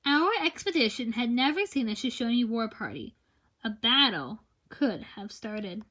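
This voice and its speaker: A woman with a high-pitched voice reads a picture book aloud for children. She tells it expressively, with deliberate phrasing and drawn-out words for emphasis. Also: lively intonation, a bit bright. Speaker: read-aloud storyteller.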